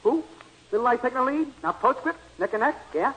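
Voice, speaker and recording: An actor playing an excitable, broke small-time horse bettor in a 1940s radio comedy, talking in slang. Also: male voice, extremely high pitch, fast, full character voice. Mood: frantic. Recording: slightly noisy 1940s radio broadcast.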